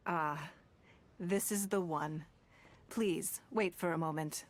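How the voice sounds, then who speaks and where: A woman voicing a wealthy, shrewd, self-assured noblewoman in a video game, speaking in a measured, formal way with calm, teasing poise. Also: low-pitched. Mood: pleased, expectant. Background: game background music.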